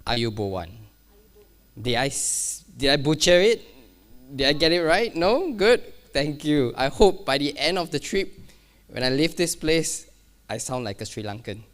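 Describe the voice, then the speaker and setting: A man's voice: on the high side, animated, measured pace. A man giving a keynote at a conference, speaking casually, with many fillers and restarts and a self-deprecating touch. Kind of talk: keynote talk on cybersecurity. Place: conference hall.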